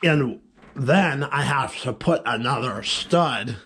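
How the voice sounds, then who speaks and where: A man's voice: medium pitch, lively intonation, fairly bright tone, slightly rough. A man in his thirties casually talking to camera, explaining his shop and tackle projects step by step. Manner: slow, easygoing, explaining step by step. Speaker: fishing vlogger. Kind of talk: casual vlog talk to camera. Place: outdoors by a pond and in a small wooden shop shed. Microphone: camera-mounted mic.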